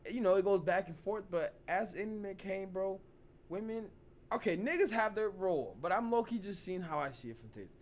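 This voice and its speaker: A man hosting his own vlog, hoarse from losing his voice, rambling loosely in casual talk full of "you know" and "like". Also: high-pitched, lively intonation, brisk. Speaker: vlog host. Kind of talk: casual opinion talk to camera.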